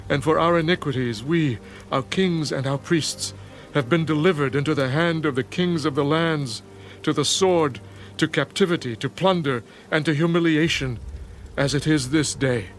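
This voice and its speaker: An actor playing a penitent Hebrew priest at prayer in a dramatised scripture reading, pleading solemnly in measured phrases. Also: male voice, fairly high pitch, lightly acted. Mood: sorrowful pleading. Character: penitent male Hebrew priest praying in anguish. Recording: music behind the voice.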